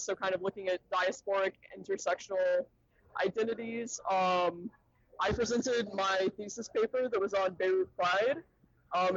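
A man's voice: sits high, a bit bright, dry recording. A young man from Arkansas, a podcast guest speaking thoughtfully and academically, with frequent ums and uhs. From Little Rock, Arkansas, USA. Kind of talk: casual interview talk. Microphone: remote call from a conference. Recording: dull lo-fi sound, dry.

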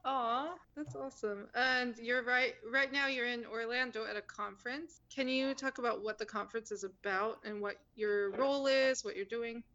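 A woman's voice: on the high side, steady tone, moderate pace, hardly any pauses, bright, forceful tone. Upbeat woman hosting a podcast. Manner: friendly, encouraging, frequent ums. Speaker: podcast host. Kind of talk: casual podcast chat. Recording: dull lo-fi sound with noise.

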